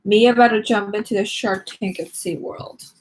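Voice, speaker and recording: A woman reads a book aloud in an informal way, adding her own asides. Her voice sits at a middle pitch, with some noise in the recording. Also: fairly even intonation, normal speed, poor audio. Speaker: reader of a read-along series.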